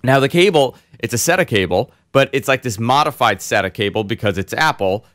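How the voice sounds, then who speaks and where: A man hosting a casual tech podcast, hoarse and congested, telling long rambling stories full of fillers. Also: mid-range voice, fairly quick, dry recording, studio quality. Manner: rambling, animated storyteller with many fillers. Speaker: host.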